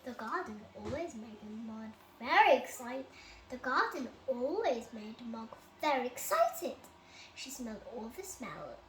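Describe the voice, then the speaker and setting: A child reading a children's bedtime story aloud with expressive, dramatic delivery. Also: medium pitch, measured pace. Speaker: storybook reader. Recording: roomy echo, loud enough background noise.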